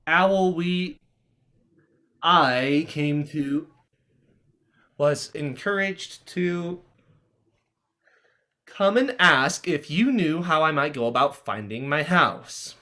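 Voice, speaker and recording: An actor playing a hesitant, sarcastic teenage boy in a stage script reading, halting and sardonic. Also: mid-range voice, bright, forceful tone. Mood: wry.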